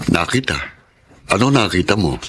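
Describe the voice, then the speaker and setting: Low-voiced Filipino father, radio drama. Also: animated, normal speed, noisy background. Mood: uneasy. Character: wounded middle-aged single father, protective, plain-spoken.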